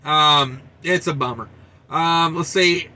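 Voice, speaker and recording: Bright-voiced wisecracking trucker host. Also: male voice, on the high side, slow. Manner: folksy, wisecracking, reads articles aloud. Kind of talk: casual news commentary.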